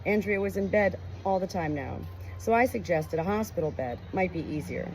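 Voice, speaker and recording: A woman reading a book and reflecting on it in an expressive, earnest, emotional way. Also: on the low side, moderate pace, soft background music, low-quality recording. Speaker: audiobook reader. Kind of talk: expressive book narration with personal commentary. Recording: clear background noise, rooster crowing.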